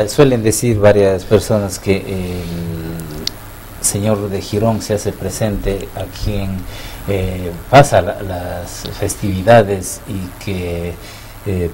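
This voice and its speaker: A middle-aged man interviews a guest in a small TV studio, speaking into a desk microphone. His voice is very low, and he asks long, formal questions padded with filler sounds, with a faint hiss underneath. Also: takes it slowly, dark tone. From Ecuador. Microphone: desk mic on stand.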